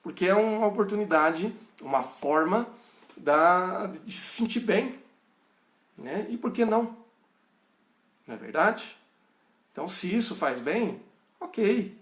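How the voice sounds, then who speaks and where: A man's voice: high-pitched, lively intonation, slow pace, pauses often, fairly bright tone, echoey room. A middle-aged pastor with a rural São Paulo and Minas Gerais Brazilian accent, teaching informally and often checking in with his listeners. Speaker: pastor teaching a Bible study. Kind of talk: informal teaching answering viewers' questions.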